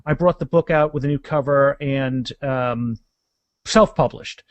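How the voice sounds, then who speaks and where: A man's voice: mid-range voice, a little slow, no room sound, highs cut off. A man of about fifty chatting casually and enthusiastically as a guest author in a podcast interview, rambling as he goes.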